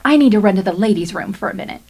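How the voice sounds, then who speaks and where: A woman's voice: mid-range voice, rapid-fire. A woman in a radio play acting a chirpy, bossy wife who animatedly orchestrates everyone. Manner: animated, directing.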